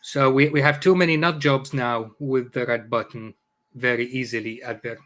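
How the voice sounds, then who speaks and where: A man's voice: mid-range voice, fairly even intonation, moderate pace, a bit bright. A middle-aged man from Iraq, a native Arabic speaker, chatting casually as a podcast guest, jokey and full of hesitant fillers. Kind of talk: casual conversation.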